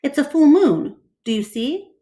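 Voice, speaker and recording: A woman voicing an earnest, polite and gentle male gorilla in a storybook reading. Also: high-pitched, sing-song, measured pace, voice pushed up. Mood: concerned.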